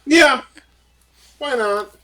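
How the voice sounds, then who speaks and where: A man's voice: very high-pitched, extremely slow, bright, forceful tone. A man chatting casually as a player in a tabletop role-playing game. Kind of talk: casual chat.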